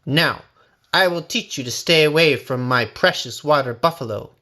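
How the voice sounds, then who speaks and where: A man's voice: a little high, normal speed, sharp tone. A man playing a small, wary and shrewd farmer in a storybook, explaining things in a polite, deferential way. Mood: triumphant. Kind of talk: storybook character voice.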